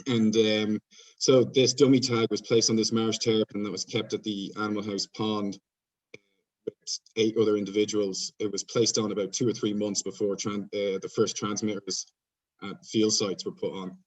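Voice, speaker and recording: A man's voice: lowish voice, little pitch movement, moderate pace. A man with an Irish accent, a PhD researcher giving an informal scientific presentation over a video call. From Ireland. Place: remote online video conference. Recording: distant-sounding video call microphone.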